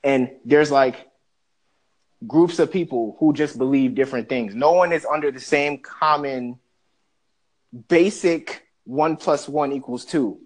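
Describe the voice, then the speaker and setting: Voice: mid-range voice, a little slow, rough recording. A podcast co-host from the Bahamas, ranting animatedly and profanely in a casual discussion.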